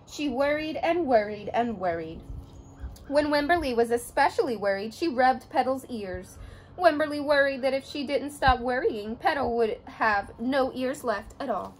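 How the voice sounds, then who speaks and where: A young adult woman reading a children's picture book aloud, with expressive storytelling. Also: sits high, normal speed, a little hiss or hum, poor audio.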